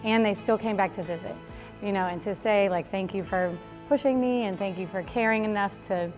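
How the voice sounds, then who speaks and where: A woman's voice: medium pitch, brisk, darkish tone, soft background music. A woman teacher speaking proudly and from the heart in an interview. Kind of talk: interview testimony.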